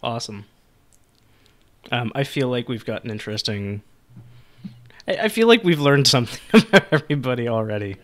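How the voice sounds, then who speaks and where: A man's voice: mid-range voice, animated, normal speed, pauses often, a bit bright. A man hosting a tabletop game podcast as game master, talking in a rambling, jokey way over an online call.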